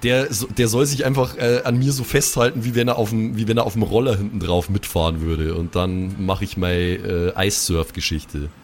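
A man's voice: on the low side, fairly even intonation, normal speed, few pauses, slight background noise. A man chatting casually as a player in an improvised tabletop role-play. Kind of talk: casual game chat.

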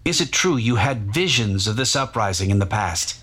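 An actor playing an earnest, formal news correspondent in a dramatized historical report, pointed and declarative. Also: male voice, fairly low pitch, lively intonation, normal speed, a bit bright, breathy edge. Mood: probing. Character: earnest male newspaper-era reporter, presenting like a news correspondent.

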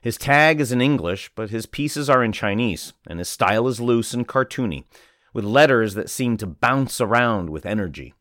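A man reading a feature article aloud in a measured narration. His pitch moves a lot as he reads. The sound is dry and close, with no room around it. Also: fairly bright tone, no room sound. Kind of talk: scripted narration.

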